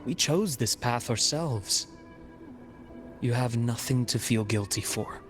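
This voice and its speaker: Male actor as loyal game companion, man, medium pitch, calm, measured delivery, reassuring, earnest, prominent background music. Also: lightly acted. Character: calm, steadfast male companion consoling an old friend. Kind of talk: video game cutscene voice acting.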